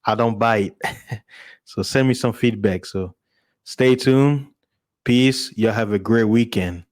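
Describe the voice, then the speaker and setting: A man's voice: lowish voice, slow, darkish tone. A man from Congo chatting casually to his listeners as a streamer and podcast host, in an informal way. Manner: informal, chuckles often.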